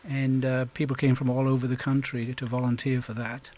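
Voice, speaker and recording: A middle-aged man from South Wales, answering interview questions in a halting way with frequent hesitations and an occasional chuckle. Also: mid-range voice, level tone, darkish tone, slight background noise. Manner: halting, lots of hesitations, chuckles now and then. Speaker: guest, volunteer officer.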